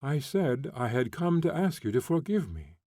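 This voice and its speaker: A male reader voicing a remorseful gentleman prince who pleads earnestly for forgiveness. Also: mid-range voice, big pitch swings, moderate pace, no room sound, clean studio sound. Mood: pleading.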